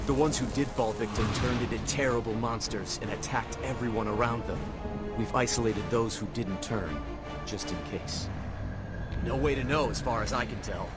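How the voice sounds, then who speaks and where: An actor playing a grim, dutiful military commander in a video game, weary but resolute, with measured, earnest, commanding speech. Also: male voice, lowish voice, natural voice. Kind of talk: scripted video game voice acting. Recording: loud game music behind the voice. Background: game soundtrack and ambient effects.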